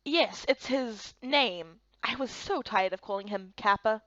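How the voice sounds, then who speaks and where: A woman's voice: on the high side, a little slow, a bit bright, dry recording, highs cut off. A woman voicing a puzzled, polite young newcomer in a visual novel game. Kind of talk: voiced playthrough of fantasy visual novel.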